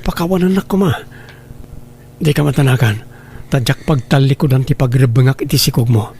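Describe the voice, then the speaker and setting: A man's voice: mid-range voice, lively intonation, a little fast, dark tone, slight background noise, natural voice. A man playing an evasive, apologetic married lover, speaking hesitantly and earnestly in radio drama style. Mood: apologetic, pleading.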